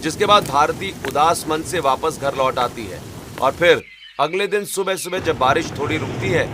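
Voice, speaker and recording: A man narrates a family cartoon in scripted storyteller style. His voice is a little high for a man, and there is clear rain noise behind it. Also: expressive, moderate pace. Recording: loud rain ambience behind voice.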